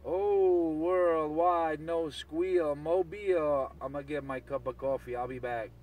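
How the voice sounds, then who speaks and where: A man's voice: fairly high pitch, slow, narrow, tinny audio, a crowd in the background. A man chatting on CB radio, chanting repeated phrases and call signs. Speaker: CB radio operator. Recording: noisy CB radio, partly far from mic.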